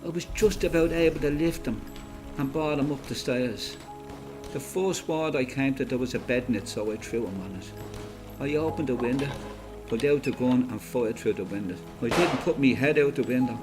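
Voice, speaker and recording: Man acting Irish volunteer veteran, radio play testimony, matter-of-fact storytelling, clear music behind. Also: mid-range voice, moderate pace, close to an everyday voice. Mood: matter-of-fact, reminiscing. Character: plain-spoken Irish volunteer veteran recounting his fighting days. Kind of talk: dramatised first-person testimony, storytelling.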